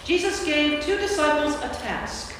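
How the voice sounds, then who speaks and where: A woman's voice: high-pitched, expressive, a little slow, fairly bright tone. A woman reading scripted narration for a staged Bible reading. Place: large reverberant church. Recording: echoey church from far off, faint noise.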